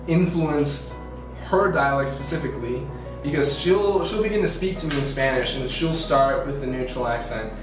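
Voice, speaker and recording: A young man from Colombia answering interview questions in a casual, rambling way, with many fillers and self-corrections. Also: medium pitch. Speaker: student interviewee. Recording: echoey room from far off, music behind.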